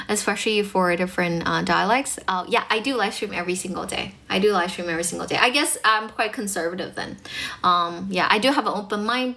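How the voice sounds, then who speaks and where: A young Chinese woman hosts a casual English livestream, talking fast and laughing often. Her voice is bright and tense, and her English carries a North American tinge. She slips Chinese phrases in between her English. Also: medium pitch. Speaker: English-speaking livestream host. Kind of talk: casual livestream chat with viewers.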